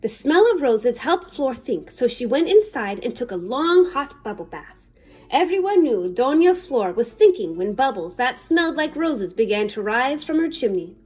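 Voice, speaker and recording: High, animated woman reading a story. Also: moderate pace, breathy edge. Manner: animated, dramatic. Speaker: children's story reader. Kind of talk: expressive picture-book narration.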